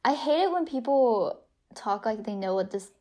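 A woman's voice: a little high, expressive, moderate pace, darkish tone. A teenage girl chatting with friends on a podcast, making brief, wry remarks. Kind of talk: casual chat among friends.

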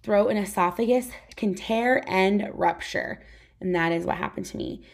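A woman's voice: medium pitch, normal speed, vocal fry. A young woman with a scratchy voice talking frankly and casually to camera, full of "like" fillers. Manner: frank, conversational, many "like" fillers. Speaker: vlogger. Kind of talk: candid personal talk to camera.

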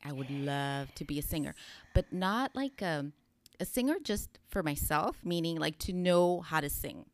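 A woman's voice: low voice, animated, moderate pace, no echo. A woman co-hosting a casual chat, asking questions and switching freely between English and Spanish. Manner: warm back-channeling, code-switches into Spanish. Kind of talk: casual conversational podcast chat.

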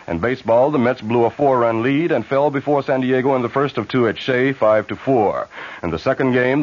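A man with an American accent reading a news bulletin on 1970s radio. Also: mid-range voice. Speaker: radio newsreader. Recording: muffled vintage AM radio recording.

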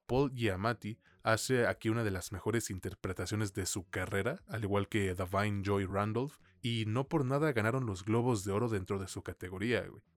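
A Mexican-accented man with a fairly low voice, recorded dry and close, shares a film review as a podcast co-host. He speaks conversationally, in loose slang with the odd swear word. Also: normal speed, hardly any pauses, dry recording. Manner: informal, slangy, peppered with swearing.

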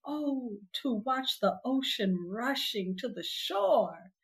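A woman hosting a podcast, delivering scripted announcements and poetry in a deliberate, expressive way. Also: a little high, slightly rough, no echo. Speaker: podcast host. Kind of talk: scripted show sign-off and poetry recitation. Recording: music bed under the voice. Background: music.

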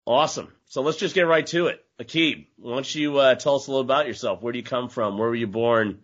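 A man's voice: mid-range voice, fast, fairly bright tone. Easygoing male podcast host, slightly muffled. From United States. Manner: loose, peppered with "you know" and "uh". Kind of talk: casual interview chat.

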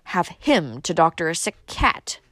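A woman's voice: lowish voice, very animated, a little slow, no echo. Woman putting on a haughty, disapproving mother. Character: majestic, cold, disapproving mother.

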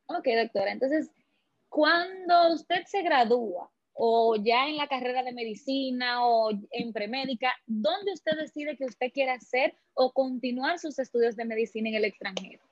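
A woman's voice: sits high, normal speed, no echo. A woman host who opens the show with an upbeat greeting and introduces her guest formally. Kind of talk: show introduction and interview questions. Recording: dull dry lo-fi sound.

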